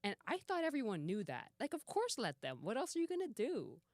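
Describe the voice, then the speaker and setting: A woman in her forties chatting casually on a podcast, an animated storyteller who swears offhandedly and acts out dialogue. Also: a little high, fairly quick, no echo, studio quality. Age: 40s. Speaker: podcast guest. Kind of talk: casual chat.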